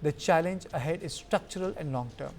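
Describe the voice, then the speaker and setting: A man's voice: fairly high pitch, brisk, creaky, a little mellow, slight background noise. A man reading a scripted news voice-over in a formal, emphatic manner. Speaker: news narrator.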